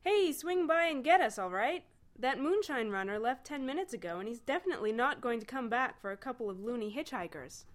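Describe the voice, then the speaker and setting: A woman voicing a blunt, excitable male alien hitchhiker in a read-aloud story, brash and eager. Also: on the high side, brisk, hardly any pauses, voice pushed up, close to an everyday voice. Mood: brusque. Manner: brash and eager, swinging between pushy and sheepish. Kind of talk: storybook reading.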